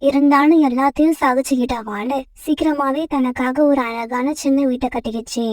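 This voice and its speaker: A woman tells a children's cartoon story in a plain, even way. Her voice sits high and sounds dry and slightly distant from the mic. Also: no room sound. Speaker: narrator. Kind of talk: storybook narration.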